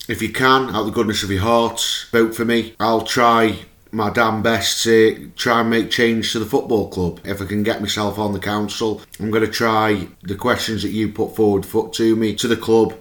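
Man, hoarse and low, keen podcast host; roomy sound. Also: a bit bright. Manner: chatty and eager, with restarts. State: hoarse, losing his voice. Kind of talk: casual fan podcast chat.

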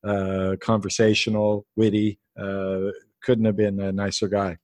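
A man hosting a casual sports chat, speaking haltingly with many "uh" fillers. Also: lowish voice, level tone, a little mellow.

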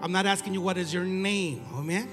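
A man's voice: sits high, steady tone, fairly quick, fairly bright tone, faint music behind. A man with a Mexican accent preaching a Pentecostal sermon as a missionary, fervently calling for "Amen" from the congregation. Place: church.

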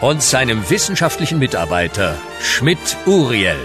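A man's voice: mid-range voice, very animated, normal speed, over music. A man narrating a comic radio play in a theatrical storyteller's manner.

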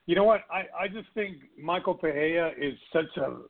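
A man giving fight picks in casual chat, rambling and excitable with stammered restarts, his voice going hoarse. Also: fairly high pitch, normal speed. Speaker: regular panelist. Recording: tinny phone-line audio.

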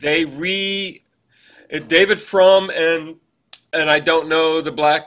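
Bright, higher-voiced man chatting casually. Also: level tone, takes it slowly. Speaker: podcast co-host. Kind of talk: casual chat, reminiscing.

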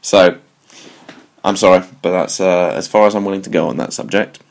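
A man's voice: deep voice, steady tone, normal speed, creaky. A British man hosting a solo music commentary show, talking in a wry, digressive way with jokey asides. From United Kingdom. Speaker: podcast host.

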